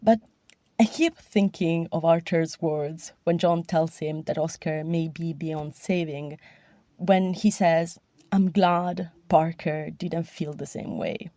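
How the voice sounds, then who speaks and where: Mellow, low-pitched woman's voice message. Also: fairly even intonation, takes it slowly, dry recording. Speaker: listener sending a voice message. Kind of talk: personal recorded opinion message.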